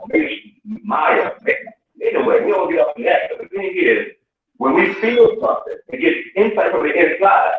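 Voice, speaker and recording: Man, high voice, rambling and repetitive, heard over a thin echoey call from afar. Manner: rambling, repeats himself, swears. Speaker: podcast participant. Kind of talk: casual group discussion. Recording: distant, echoey, phone-like line.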